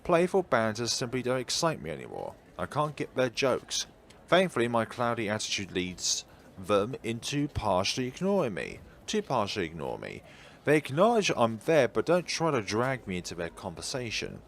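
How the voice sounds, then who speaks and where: A man's voice: mid-range voice, wildly swooping pitch, moderate pace, creaky, fairly bright tone. A man reading a visual novel aloud theatrically as a game streamer, slipping out of the story for asides and self-corrections.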